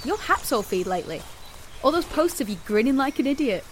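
An actress playing a defiant, sardonic young citizen in a sci-fi audio drama, with a dry, teasing edge. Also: female voice, high-pitched, very animated, fairly quick, slight background noise. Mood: dry banter. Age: young adult.

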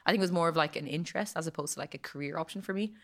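A woman's voice: sits low, racing. A young Irish woman in her twenties, chatting casually as an interview guest, full of likes and ums. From Ireland. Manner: chatty, lots of likes and ums, laughs easily.

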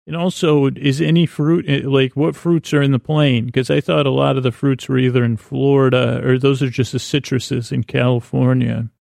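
A man hosting a sleep podcast with a meandering, halting, whimsical bedtime monologue in a gravelly, creaky voice. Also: mid-range voice, level tone, a little mellow, no echo, studio quality. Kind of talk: rambling improvised bedtime monologue.

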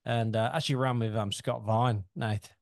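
A man's voice: medium pitch, steady tone, moderate pace, gravelly, no room sound. Laid-back man, dry recording. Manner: laid-back, lots of fillers, ends with "eh?". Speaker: podcast co-host. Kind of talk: casual chat.

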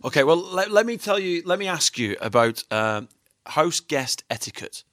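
A man's voice: on the high side, sing-song, moderate pace, fairly bright tone, no echo, clean studio sound. A man hosting a chat interview, joking and teasing his guest. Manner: jokey, teasing, upbeat. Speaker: host.

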